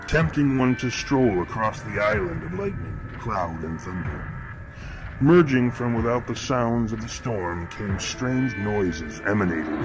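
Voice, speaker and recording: A man playing a grandiose, menacing killer who sees murder as art, narrating a horror audio drama in a florid, pompous, deliberate way. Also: fairly low pitch, poor audio. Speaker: narrator of a horror fiction podcast. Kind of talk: scripted first-person horror narration, theatrical. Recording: narration over prominent music bed. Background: music.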